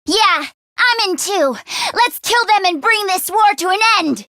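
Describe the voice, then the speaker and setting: A woman voicing an anxious, earnest, tech-minded teenage girl fighter in a video game, with a hesitant, expressive delivery. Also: extremely high pitch, measured pace, dry recording, studio quality, exaggerated voice. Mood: eager, excited. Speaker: voice actress.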